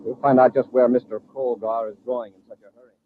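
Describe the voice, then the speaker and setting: Brief: male actor as fast-talking, decisive space patrol commander, vintage radio serial, dull noisy sound. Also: mid-range voice, flat delivery, natural voice. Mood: calm, determined. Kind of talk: 1950s radio adventure acting. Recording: noisy, muffled old radio recording.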